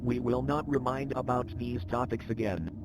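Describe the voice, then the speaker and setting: A man plays a stern, impersonal narrator on an agency training tape in a horror game. The instructions are formal and clipped, delivered as scripted narration. Clear noise and ambience run behind the voice. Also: medium pitch, fairly even intonation, normal speed, natural voice.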